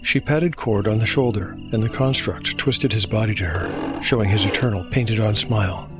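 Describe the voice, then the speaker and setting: A man with a fairly low voice narrates a fantasy role-playing tale as its game master. His delivery is measured and scripted. Music plays clearly underneath.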